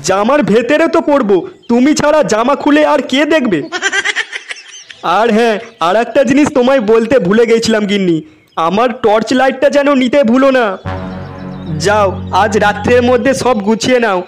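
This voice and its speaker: A man's voice: extremely high pitch, normal speed, soft background music. A man voicing a grumpy, stingy old Bengali grandfather who scolds his family in a comic cartoon. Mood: bossy. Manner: scolding, exclaiming.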